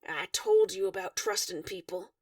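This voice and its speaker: A woman's voice: fairly even intonation, normal speed, gravelly, a little mellow, dry recording, studio quality, recorded from a distance, heavily acted. A woman voicing a terse, protective young man with a menacing streak, for a story reading. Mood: curt, menacing. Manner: low-spoken, curt.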